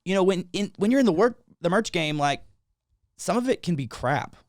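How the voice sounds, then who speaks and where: A man's voice: sits high, animated, normal speed, no echo, clean studio sound. A young man hosting a podcast, talking earnestly in casual chat with some restarts. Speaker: podcast host.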